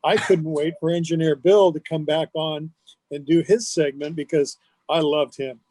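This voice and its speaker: A man's voice: fairly high pitch, fairly even intonation, moderate pace, dark tone, no echo. A man, a radio personality, chatting as an interview guest in an enthusiastic, emphatic way. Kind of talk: casual conversational reminiscence.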